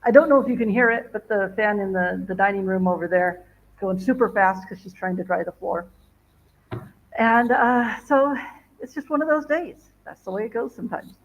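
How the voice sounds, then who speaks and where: A woman's voice: medium pitch, moderate pace, highs cut off. A woman vlogger chatting casually to viewers from her home, rambling with many fillers and restarts. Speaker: travel vlogger. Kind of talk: casual livestream chat. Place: home in northern Ghana.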